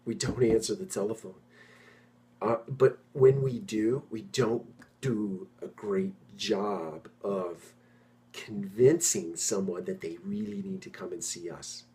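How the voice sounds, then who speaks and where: A man's voice: lowish voice, slow, dark tone. Middle-aged man, emphatic informal monologue. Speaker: property management executive and host. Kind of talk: informal monologue addressed to industry peers.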